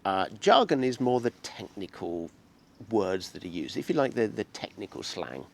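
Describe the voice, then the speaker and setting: A man hosting a relaxed English-lesson interview, explaining office jargon with everyday examples and laughing often. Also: lowish voice, normal speed, creaky. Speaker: English teacher and host. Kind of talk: casual teaching interview.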